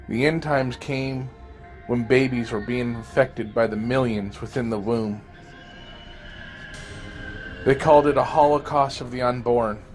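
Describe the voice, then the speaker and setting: A man reading a scripted first-person horror story as an audio drama narrator, grave and dramatic with deliberate phrasing. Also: medium pitch. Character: male survivor recounting a zombie fungus apocalypse. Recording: amateur narration over music. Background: music.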